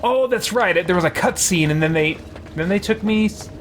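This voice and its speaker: A man's voice: high-pitched, expressive, moderate pace, background noise. A man streaming a game, chatting casually and thinking aloud as he plays. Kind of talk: casual live game commentary. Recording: game music behind the voice.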